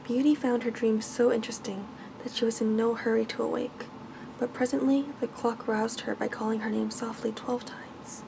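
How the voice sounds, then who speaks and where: A woman reading a bedtime story in a soft, hushed ASMR style that at times turns whispery. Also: on the high side, flat delivery, moderate pace, vocal fry, background noise.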